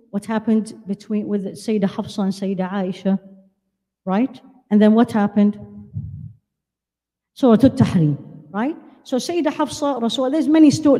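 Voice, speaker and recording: An older woman born in Iraq, giving an animated religious lecture at a women's conference, holding a handheld mic and asking the audience questions. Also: fairly high pitch. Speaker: Islamic lecturer. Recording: long hall reverb.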